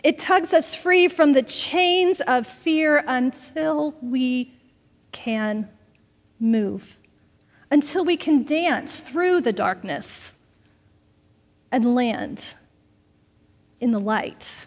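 High-voiced woman preaching in a reverberant church. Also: expressive, very slow, pauses often. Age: middle-aged. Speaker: pastor preaching. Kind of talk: sermon. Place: large wood-panelled church sanctuary. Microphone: pulpit microphone.

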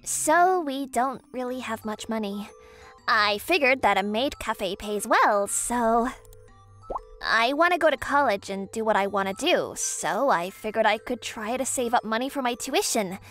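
A woman voicing a bashful but feisty, secretly sweet teenage schoolgirl maid in a manga romcom dub, shy and halting. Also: fairly high pitch, lively intonation, a bit bright. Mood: earnest, bashful. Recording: music behind the voice.

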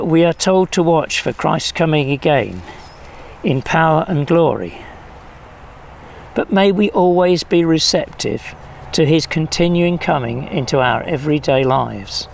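An older man solemnly reading prayers at a church service in measured phrases, recorded outdoors. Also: fairly high pitch, lively intonation, creaky. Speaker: prayer leader. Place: outdoors in a leafy field or garden. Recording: faint outdoor background noise.

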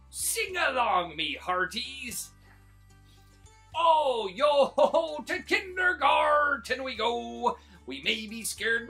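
A man voicing a gruff but soft-hearted pirate bus driver in a storybook, growling and drawing out his pirate talk. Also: very high-pitched, exaggerated voice.

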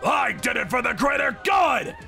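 A man voicing an arrogant, brutish villain in an over-the-top manga dub, loud, strained and frantic. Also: extremely high pitch, big pitch swings, normal speed, creaky, faint music behind, heavily acted. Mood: defiant. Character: arrogant, brutish male villain, ranting and screaming.

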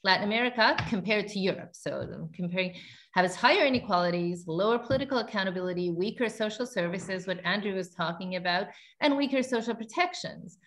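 A woman's voice: mid-range voice, expressive, brisk, fairly bright tone. Woman researcher explaining on a video call. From Finland. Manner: fluent, explanatory, mild fillers. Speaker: panelist, researcher. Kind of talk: panel discussion answer. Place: indoor room. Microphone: video call webcam.

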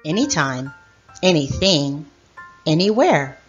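A middle-aged woman reading a children's picture book aloud as host, in a deliberate, expressive storyteller manner. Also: low voice, lo-fi sound, faint music behind.

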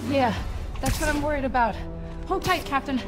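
Casting: actress as a young, quippy, determined video game hero, fairly high voice, under loud score. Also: female voice, normal speed, close to an everyday voice. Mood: concerned, focused. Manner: wisecracking, earnest. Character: young, quippy, determined masked city superhero. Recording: loud music over the voice. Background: loud game music.

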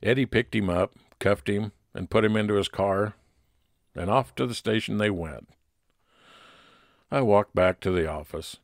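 A man's voice: lowish voice, unhurried, vocal fry, pauses often, no echo, clean studio sound. A man playing a private investigator who narrates his case plainly, in old time radio detective style. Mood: matter-of-fact.

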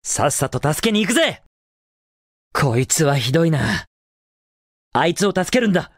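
Cocky young hero hedgehog, lively delivery. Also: male voice, on the high side, moderate pace, no room sound, clean studio sound. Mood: brisk, focused. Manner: confident, casual, brash delivery. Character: cocky, upbeat, heroic young male hedgehog, cool and daring. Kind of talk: Japanese video game voice acting, short clips.

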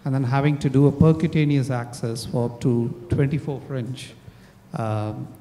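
A man's voice: mid-range voice, fairly even intonation, moderate pace, warm tone. A man in his thirties, a surgeon on a conference panel, thoughtful with hesitant starts. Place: large conference hall, seated on stage. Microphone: handheld microphone. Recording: reverberant hall with faint noise.

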